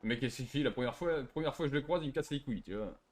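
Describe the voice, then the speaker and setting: A man's voice: medium pitch, racing. A man bickering casually as a gaming streamer, sarcastic, provocative and nitpicking. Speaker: gaming streamer trolling players. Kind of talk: casual bickering in online roleplay game.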